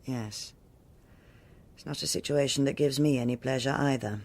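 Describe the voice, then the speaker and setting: An actress playing a stern, self-assured senior policewoman with a British accent, speaking in a measured, formal and authoritative way in a radio drama. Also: rumbling low, a little mellow. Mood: formal, cool. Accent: British English.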